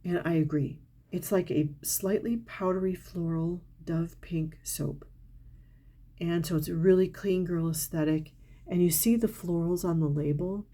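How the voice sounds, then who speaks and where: A woman's voice: fairly low pitch, steady tone, unhurried, dark tone. A middle-aged woman, congested from a cold, chatting candidly and enthusiastically as she reviews a haul of perfumes for her channel. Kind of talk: casual product haul talk to camera.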